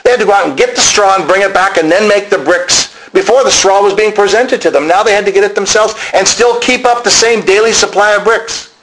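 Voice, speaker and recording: A man's voice: high voice, a bit bright, poor audio. A man preaching a sermon, explaining to listeners directly and repeating key phrases for emphasis.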